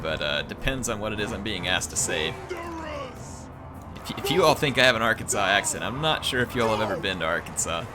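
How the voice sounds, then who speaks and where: A man's voice: fairly low pitch, huge pitch swings, fairly quick, music in the background. A man hosting a gaming channel, giving playful, jokey live commentary on a battle and chatting with his viewers.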